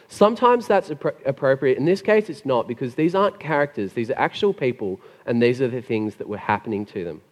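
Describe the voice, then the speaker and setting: Gravelly-voiced pastor, hoarse, chatty sermon with asides, mid pitch, long hall reverb. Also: male voice, darkish tone. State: hoarse after being sick. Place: church hall.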